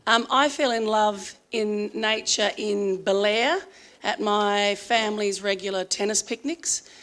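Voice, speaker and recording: A woman's voice: mid-range voice, unhurried, a bit bright. Australian woman in middle age, conference speaker, earnest and chatty with lots of ums, slightly strained voice. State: strained voice from singing. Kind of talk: conference presentation.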